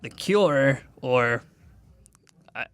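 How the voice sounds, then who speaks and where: A man chatting and role-playing casually as a player in a tabletop game, with halting, deadpan phrasing. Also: medium pitch, smooth, no room sound. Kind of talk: casual improvised role-play and chat.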